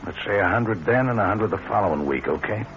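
An American actor playing a cool, menacing, slyly insistent small-time blackmailer in a 1940s radio crime drama. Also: male voice, fairly low pitch, animated, fast. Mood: sly, pressing. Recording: muffled, noisy old radio recording.

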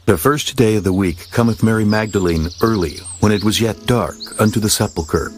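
A man narrating scripture in a solemn, steady reading. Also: lowish voice, normal speed. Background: soft music.

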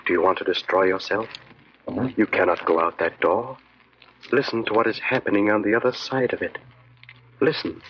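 An actor playing a sinister inner voice that coldly and deliberately urges and commands, in 1940s radio horror style. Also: male voice, fairly low pitch, fairly even intonation, lightly acted. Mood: urgent, commanding. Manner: insistent, deliberate, repetitive urging. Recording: muffled, noisy old radio recording.

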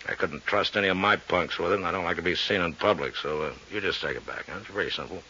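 An actor playing a shifty, world-weary gambling boss and former bootlegger in a 1950s radio drama, gruff, blunt and slangy, with an American accent. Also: male voice, low-pitched, fast talker, pushed and bright, a little hiss or hum. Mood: shifty, confiding. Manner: blunt, slangy tough-guy talk. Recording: dull old radio recording.

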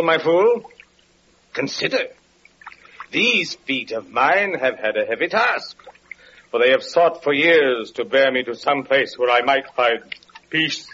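An actor playing a bitter, lame, evil-faced wanderer with mocking, archaic declamation in an old-time radio drama. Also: male voice, mid-range voice, a bit bright. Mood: bitter disdain. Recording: muffled, noisy vintage radio recording.

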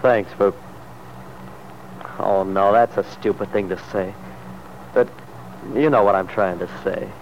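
An actor playing an earnest, apologetic married sergeant in an old radio soap, speaking in a hesitant, solicitous way. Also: male voice, lowish voice, fairly even intonation, measured pace, long pauses. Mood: awkward, apologetic. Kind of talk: vintage radio soap opera acting. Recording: dull, noisy old radio recording.

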